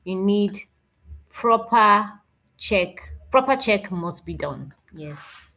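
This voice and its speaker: A woman's voice: mid-range voice, lively intonation, slow pace, sharp tone. A woman reading chat comments aloud as a moderator.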